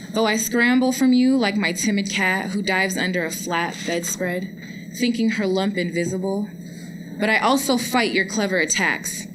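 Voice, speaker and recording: A young adult woman reading poetry aloud at a live event. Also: medium pitch. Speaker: poetry reader. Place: indoor public lecture event. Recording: noisy live room recording.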